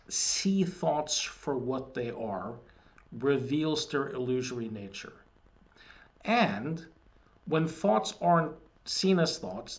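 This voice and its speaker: A man in his forties, a hypnotist, recording a measured promotional video message at his desk in a small wood-panelled room, explaining his ideas and often repeating words as he restarts. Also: medium pitch. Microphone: webcam at desk. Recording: dull lo-fi webcam audio.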